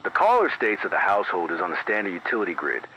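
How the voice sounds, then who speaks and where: Man acting as late-night emergency broadcaster, animated intonation, measured precise wording, grave, scripted horror bulletin, AM radio filter, faint noise. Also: sits low, full character voice. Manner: measured, formal, deliberate, precise. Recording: dull band-limited AM radio, faint noise.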